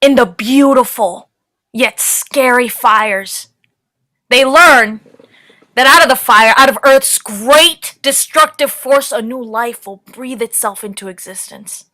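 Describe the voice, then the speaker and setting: A woman's voice: a little high, slow pace, sharp tone, poor audio. A woman on stage playing a weary but playfully inventive woman sifting through fire ruins, in naturalistic stage acting. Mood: musing. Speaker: actor in a staged play reading.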